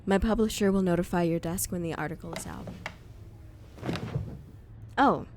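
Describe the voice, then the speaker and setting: A man's voice: sits high, moderate pace, darkish tone, slight background noise, voice pushed up, natural voice. A man voicing a sly woman journalist who teases and probes the inventor with her questions in a fan audio drama. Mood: teasing. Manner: teasing, probing questions.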